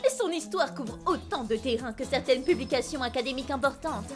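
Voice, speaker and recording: A woman's voice: sits high, animated, fairly quick, music in the background. A woman voicing a haughty, lecturing scholar in a fan dub of a game. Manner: pompous, didactic.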